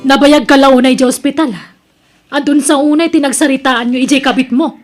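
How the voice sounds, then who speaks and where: A woman's voice: sits high, fairly even intonation, brisk, soft background music, natural voice. A woman acting a jealous, bitter, sarcastic wife in an Ilocano radio drama, with an Ilocano accent. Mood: accusing.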